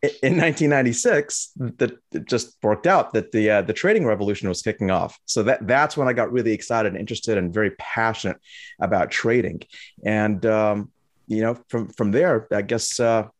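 Middle-aged man, from Lebanon, lowish voice, halting with fillers, dry close sound. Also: dry recording. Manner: halting, many restarts and fillers. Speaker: guest, trading educator. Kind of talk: casual interview talk.

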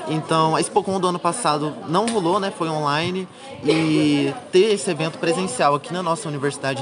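Young Brazilian man chatting at a crowded event. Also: a little high. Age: young adult. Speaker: university student interviewee. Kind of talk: casual chat. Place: university congress with crowd. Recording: busy event crowd behind the voice.

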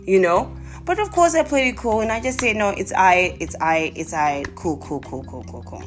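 A young woman from Zimbabwe with a Zimbabwean accent, telling comic stories to her viewers in a casual, animated way with exclamations. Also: medium pitch, normal speed, faint music behind. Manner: animated, exclaiming, laughing often.

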